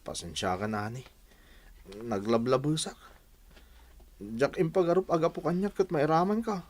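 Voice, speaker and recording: An actor plays an Ilocano husband and errant employee in a radio drama. He speaks in a subdued, halting, apologetic manner. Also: fairly high pitch, darkish tone, a little hiss or hum, lightly acted. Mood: contrite.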